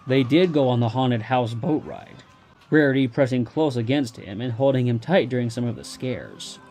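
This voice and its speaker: Mellow male story narrator. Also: medium pitch, moderate pace, slight background noise.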